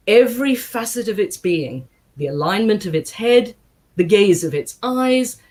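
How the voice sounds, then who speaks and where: A woman reading aloud to a congregation in an expressive style, with measured, deliberate phrasing and emphatic pauses. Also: mid-range voice. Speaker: guest reader at a synagogue service. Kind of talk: expressive book reading with short spoken introduction.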